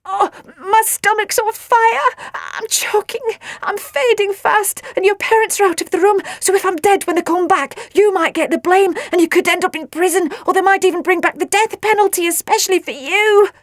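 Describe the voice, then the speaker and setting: A high, close and dry voice: a woman narrator playing a playful storybook babysitter who schemes to frighten. Her delivery is melodramatic and wheedling, full of exclamation. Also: animated, moderate pace, dry recording. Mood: melodramatic agony.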